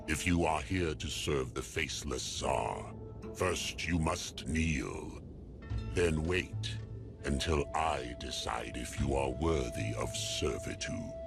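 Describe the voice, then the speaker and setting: An extremely deep, gravelly monster villain for a video game. The creature speaks slowly and pompously, a haughty servant of a dark master, with music behind. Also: male voice, exaggerated voice. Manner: slow, pompous monologue.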